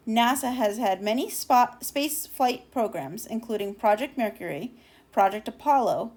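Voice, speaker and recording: High-voiced woman reading a storybook aloud. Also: darkish tone. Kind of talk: expressive children's storybook read-aloud.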